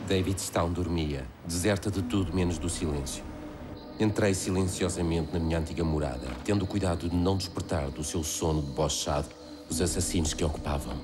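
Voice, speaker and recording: Guy doing doomed castaway telling a horror comic tale, low grave voice, euro portuguese, music under it. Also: close to an everyday voice. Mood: grim, ominous. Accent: European Portuguese. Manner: grave, literary, measured. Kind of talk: dramatic first-person storybook narration.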